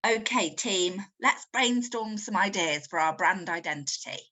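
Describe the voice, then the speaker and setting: A woman's voice: medium pitch, expressive, measured pace, pushed and bright. A woman playing a keen young marketing intern in an amateur comic story. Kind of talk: amateur comic audio drama.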